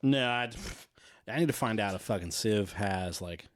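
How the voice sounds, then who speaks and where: A man's voice: fairly low pitch, moderate pace, no echo. A man co-hosting a podcast in casual, profane, joking chat. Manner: joking, profane, laughs often. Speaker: podcast co-host.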